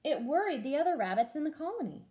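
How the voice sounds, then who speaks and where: Animated woman storyteller, mellow and high. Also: fast. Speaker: teacher reading a storybook. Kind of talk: expressive children's story reading.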